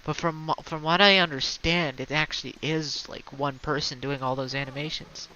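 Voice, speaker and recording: Stuffy-voiced streamer woman, bright tone. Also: sits low, steady tone, moderate pace, muffled recording. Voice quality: slight natural stutter. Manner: rambling, heavy on fillers and restarts. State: congested from allergies. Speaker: game streamer. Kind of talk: casual gameplay chatter.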